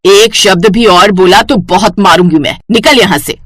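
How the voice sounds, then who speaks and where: A woman's voice: mid-range voice, moderate pace, dry recording, close to an everyday voice. A woman voicing a cruel, greedy, domineering stepmother in a cartoon story, harsh and scolding. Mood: threatening.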